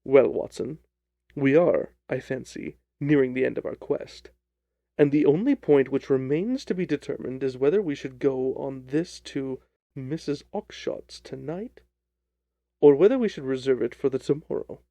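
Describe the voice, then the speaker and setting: Gentleman detective, shrewd and self-assured, measured phrasing with gravelly fry read by a male narrator for a classic storybook. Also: medium pitch, no room sound, close to an everyday voice. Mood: composed, amused.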